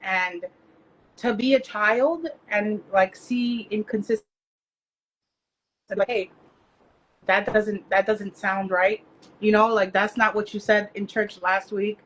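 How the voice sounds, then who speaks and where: A woman's voice: mid-range voice, steady tone, takes it slowly, pauses often, fairly bright tone, a little hiss or hum, rough recording. A Dominican woman author speaking as a guest, emphatic and blunt, slipping into Spanish phrases. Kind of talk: candid interview talk.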